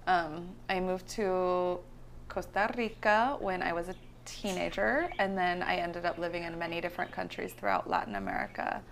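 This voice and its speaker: A woman's voice: lowish voice, expressive, normal speed, vocal fry, slight background noise. A woman from California talking casually about her life and art in an interview, rambling with many fillers and restarts. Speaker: visionary artist guest. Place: her home.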